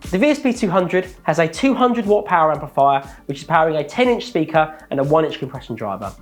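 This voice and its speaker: A man's voice: a little high, lively intonation. Methodical man presenting gear over faint music. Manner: measured, methodical listing of features. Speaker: product presenter. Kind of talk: scripted product walkthrough.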